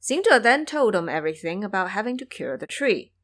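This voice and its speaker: Casting: female narrator for an audio drama, mid-range voice, highly expressive storybook reading. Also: a little fast.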